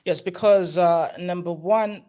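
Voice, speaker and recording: A young man from Zambia with a squeaky voice, a guest giving earnest advice in an online interview, with many fillers and restarts. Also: steady tone, measured pace, dark tone, clean tone. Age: young adult. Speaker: guest, entrepreneur.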